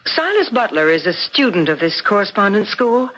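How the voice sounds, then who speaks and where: A woman's voice: medium pitch, big pitch swings, normal speed, a bit bright. An actress playing a determined, probing married woman in a 1970s radio drama. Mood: purposeful, confiding. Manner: insistent, probing. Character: determined married woman, doubting her best friend's suicide. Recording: noisy vintage radio broadcast.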